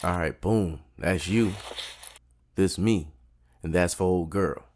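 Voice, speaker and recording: A man's voice: deep voice, slow, a little mellow, a little hiss or hum. A man playing a confident, street-smart neighborhood guy planning a job in an audio drama, talking in laid-back slang. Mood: casual, businesslike. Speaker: storytime host and character actor.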